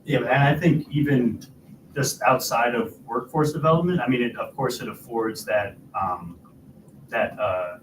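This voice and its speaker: A man's voice: mid-range voice, unhurried, background noise. Young man in an echoey room. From Rensselaer, New York. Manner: thoughtful, hesitant with "uh" and "um". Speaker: youth panelist. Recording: echoey room, distant mic.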